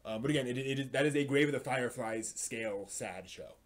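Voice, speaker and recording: Halting man hosting a casual live stream. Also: medium pitch. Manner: halting, thinks aloud, hums filler syllables while searching. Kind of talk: casual live chat, giving anime recommendations.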